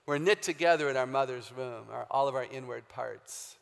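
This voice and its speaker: A woman with a very low, deep voice giving an emphatic, humorous faith talk as a guest speaker, quizzing a live audience in a large hall. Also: moderate pace, gravelly. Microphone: handheld or stage microphone. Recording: long hall reverb, live stage talk.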